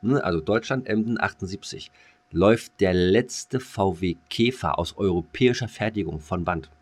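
A man's voice: deep voice, moderate pace. A man co-hosting a podcast in casual comedic banter, rambling and throwing in jokey asides. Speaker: podcast co-host.

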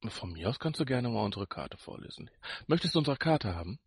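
A man's voice: mid-range voice, sing-song, a little fast, slightly rough, no room sound, clean studio sound. A man co-hosting a podcast in casual banter, with a teasing, jokey manner. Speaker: podcast co-host.

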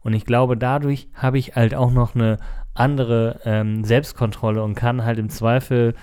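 Mellow-voiced man chatting on a podcast. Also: mid-range voice, little pitch movement, a little slow, clear, resonant tone. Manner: conversational, explanatory. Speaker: podcast co-host and recording engineer. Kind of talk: casual podcast chat. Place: seated at a table. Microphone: close podcast microphone at a table.

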